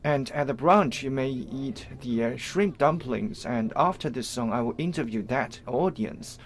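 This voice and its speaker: A man with a Chinese accent interprets live event coverage, speaking in halting, piecemeal phrases with repeated false starts. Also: medium pitch.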